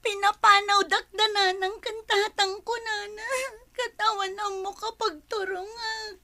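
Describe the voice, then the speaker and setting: A woman's voice: very high voice, little pitch movement, measured pace, pushed and bright, exaggerated voice. A woman playing a distraught, crying young pregnant woman in a comedy drama. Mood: tearful.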